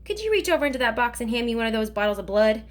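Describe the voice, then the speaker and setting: A chatty old man from a storybook, kind and full of jokes, who rambles on in a folksy way. A woman acts him out, with his voice set fairly high. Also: sing-song, rapid-fire. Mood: teasing. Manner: joking, folksy, talkative. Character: teasing kindly older male driver.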